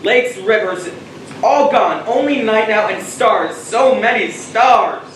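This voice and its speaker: A man's voice: high voice, lively intonation, takes it slowly, a bit bright, heavily acted. A young man on a school stage playing a despairing, stubborn spaceship captain in denial, theatrically acted. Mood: desolate denial. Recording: echoey stage, distant mic, faint noise.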